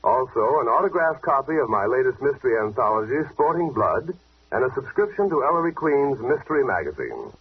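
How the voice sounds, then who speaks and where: A brisk young detective in an old radio mystery. He is confident and sharp, with very animated intonation. The sound is a muffled, narrow old broadcast. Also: mid-range voice, breathy edge, heavily acted. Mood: genial announcing. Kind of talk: 1940s radio mystery acting.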